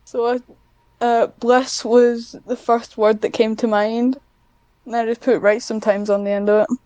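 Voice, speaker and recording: A woman with a fairly high but mellow voice and a very even melody. She chats casually as a podcast guest, hesitant and self-deprecating, recorded close and dry. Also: normal speed, clear, resonant tone, dry recording. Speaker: podcast guest, amateur scriptwriter. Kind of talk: casual interview chat.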